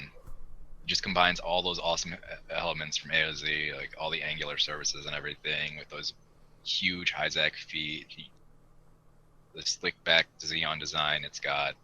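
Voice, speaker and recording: Deep, very bright-voiced enthusiastic man. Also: low-quality recording. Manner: enthusiastic, informal. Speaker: podcast co-host. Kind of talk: casual hobby chat about model kits.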